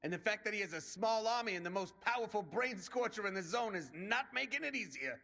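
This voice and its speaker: A man's voice: high voice, expressive, brisk, vocal fry, pushed and bright, heavily acted. An actor playing a brash male resistance commander in a video game, rallying and plain-spoken like a soldier. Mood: wry, urgent.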